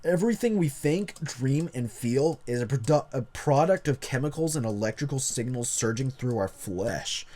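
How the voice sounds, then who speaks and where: A grave narrator of creepy horror tales, put on by a man reading aloud, speaks in a deliberate, theatrical way. The voice sits at a medium pitch and treats each line as dark drama. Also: expressive, close to an everyday voice. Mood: earnest, grave.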